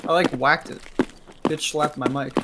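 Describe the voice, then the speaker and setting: A man's voice: on the high side, fairly even intonation, a little slow, heavy background noise. A man streaming a shooter game, self-deprecating and laughing at his own play. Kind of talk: casual gaming chat.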